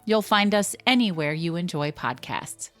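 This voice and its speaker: A woman podcast host reading a scripted promo in a polished, deliberate way. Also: fairly low pitch, lively intonation, a bit bright, soft background music.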